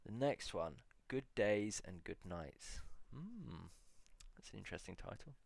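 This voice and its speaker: Gravelly low-voiced man musing aloud. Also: expressive, no echo. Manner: musing aloud, reading titles slowly with many pauses. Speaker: video blogger. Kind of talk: casual solo reflection.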